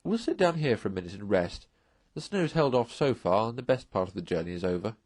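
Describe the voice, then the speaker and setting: A man's voice: on the low side, expressive, a little mellow, dry recording. Man voicing a kindly, chummy storybook rat. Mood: gentle concern. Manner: old-fashioned chummy, brisk and reassuring. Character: kindly, hearty, decisive male rat, loyal companion.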